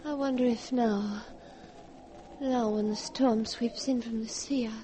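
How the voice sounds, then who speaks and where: An actress playing a possessive dying wife with a menacing streak, speaking slowly and dramatically in a 1940s radio horror play. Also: female voice, fairly high pitch, steady tone, dark tone, lightly acted. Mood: wistful, dreamy. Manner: slow, dramatic, insistent. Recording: noisy 1940s radio broadcast.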